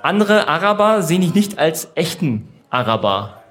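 Playful quick-talking German male host. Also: a little high, sing-song, a bit bright. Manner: playful, quick, polls the audience. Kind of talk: casual live-audience interview.

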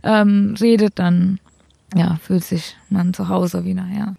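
A woman's voice: on the low side, fairly even intonation, slow, creaky, mellow, no room sound, studio quality. A young woman from India with an Indian accent, a cricket player answering interview questions in simple, hesitant German full of fillers.

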